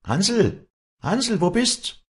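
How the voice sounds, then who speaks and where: A man's voice: fairly low pitch, sing-song, normal speed, darkish tone, breathy edge, voice pushed up, heavily acted. A man voicing a proud, fiery young mountain woman with a Bavarian-Austrian Alpine accent, emphatic and fiercely protective, in an audiobook. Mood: calling out. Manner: emphatic Alpine dialect, pleading and defiant. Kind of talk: dramatic audiobook character dialogue.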